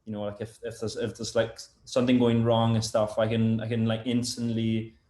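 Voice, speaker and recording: A man's voice: fairly low pitch, completely flat delivery, normal speed, dark tone. Rambling man, roomy echo. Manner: rambling, many fillers and restarts. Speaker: sound engineer and product developer, guest. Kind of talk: casual interview chat.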